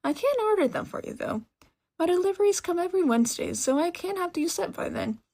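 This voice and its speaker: Storybook shopkeeper, played by a female reader: eager, exclamatory tinkerer woman, bright-spirited and gushing, pitched well up. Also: expressive, fairly quick. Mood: upbeat, helpful. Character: cheerful, excitable adult woman shopkeeper and tinkerer.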